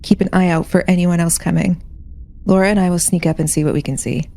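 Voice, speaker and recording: A woman playing a young female security officer who gives calm, decisive orders in a tabletop game. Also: on the low side, vocal fry. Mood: calm, commanding. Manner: measured, giving orders. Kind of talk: in-character tabletop roleplay.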